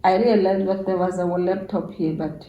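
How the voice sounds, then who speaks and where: Echoey room, middle-aged woman, South African accent, mellow tone, casual storytelling, quoted dialogue. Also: on the low side, steady tone, normal speed. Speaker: YouTuber's mother telling a story as guest. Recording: roomy, echoing indoor sound.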